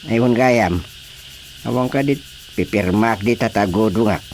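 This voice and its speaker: A man's voice: fairly low pitch, a little slow, darkish tone, slight background noise. An actor with a Filipino accent playing a bossy, exasperated older village chairman in a radio comedy drama. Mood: grumbling. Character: grumbling, bossy male village chairman, a father.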